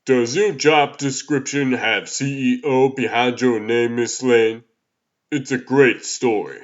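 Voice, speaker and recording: A man voicing a domineering male media executive in a comic dub, condescending and measured. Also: medium pitch, steady tone, close to an everyday voice. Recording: slightly dull, muffled sound.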